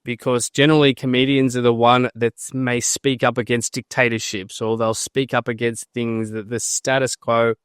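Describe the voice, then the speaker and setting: A man's voice: mid-range voice, level tone, moderate pace, few pauses, fairly bright tone, smooth, no room sound, clean studio sound. A man hosting a podcast interview, talking in a friendly, earnest way with a few hesitant restarts. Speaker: podcast host.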